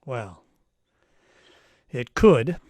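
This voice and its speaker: Guy playing a wry private eye, radio noir, medium pitch, real dry close sound, deadpan wisecracks. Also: drawn-out words, no echo. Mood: wry, unflappable. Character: wry, unflappable male private detective and narrator. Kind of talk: radio detective drama, first-person noir narration.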